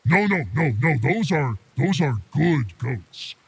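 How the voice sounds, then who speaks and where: A man voicing a simple, stubborn, hungry troll in a tabletop game, with a gravelly, husky voice and halting broken grammar. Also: fairly high pitch, animated, heavily acted.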